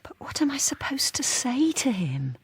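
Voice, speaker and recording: An actress playing a lonely, downtrodden wife in a radio drama, with a bleak, understated delivery. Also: female voice, sits high, sing-song, normal speed, husky, heavily acted. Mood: bleak, haunted. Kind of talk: first-person radio drama narration.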